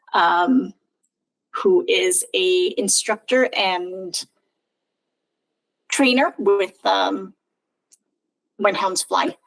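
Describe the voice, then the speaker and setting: Woman hosting a webinar on a call, slow and halting, bit of vocal fry. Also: lowish voice, poor audio. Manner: hesitant, with frequent "um" fillers and a slow, careful delivery. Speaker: charity board member hosting a webinar. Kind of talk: webinar introduction. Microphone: video call.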